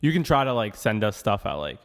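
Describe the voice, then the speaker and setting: A young man rattles along fast in loose, joking podcast chatter. His voice trails off into a long room reverb behind it. He hops from one thought to the next with plenty of ums. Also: on the low side, big pitch swings. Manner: quick, joking, rambling with fillers. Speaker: podcast co-host. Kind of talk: casual podcast banter. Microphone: studio microphone.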